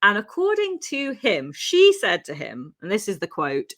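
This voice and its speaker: A woman's voice: mid-range voice, wildly swooping pitch, normal speed, a bit bright. Chatty woman from Wales telling a true crime story. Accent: English with faint Welsh traces. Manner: chatty, laughing, lots of ums and asides. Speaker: podcast co-host.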